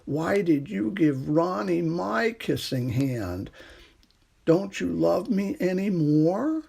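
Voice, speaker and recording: A man acts out a little raccoon boy from a children's storybook. He is a jealous, wounded big brother with a raised, somewhat husky voice. His delivery is plaintive and pleading. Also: high-pitched, very animated, slow pace, mellow, pitched above the performer's own voice, exaggerated voice. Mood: hurt, pleading.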